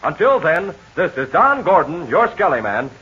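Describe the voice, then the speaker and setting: Eager high-voiced 1940s radio announcer. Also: male voice, sing-song, normal speed, slightly rough. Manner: eager, breathless kid-show salesman. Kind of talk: 1940s radio sponsor pitch. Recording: dull lo-fi 1940s radio transcription.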